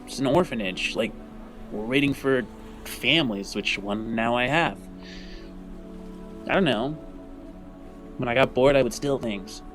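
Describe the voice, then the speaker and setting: Man playing a quiet, sullen bored boy. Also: medium pitch, a little slow, pauses often, faint music behind, lightly acted. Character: sullen, displeased sandy-haired boy, guarded and bored.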